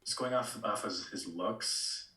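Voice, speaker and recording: Bright low-voiced man in an echoey room. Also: measured pace. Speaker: podcast co-host. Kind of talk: casual podcast chat.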